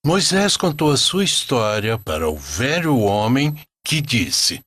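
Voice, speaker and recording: A man narrating a scripted religious story as a storyteller, in an expressive, deliberate way. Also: mid-range voice, breathy edge, no echo.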